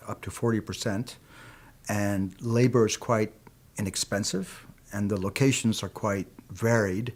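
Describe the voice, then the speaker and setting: A middle-aged man from Peru whose first language is Spanish, answering interview questions as a film producer and distributor in a measured, reflective way, often adding "you know". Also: lowish voice.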